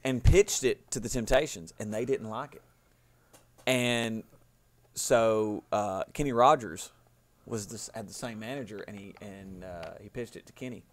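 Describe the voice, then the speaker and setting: A hoarse man, co-hosting a podcast with teasing banter and lively storytelling. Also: on the low side, unhurried. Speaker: podcast co-host. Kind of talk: casual banter.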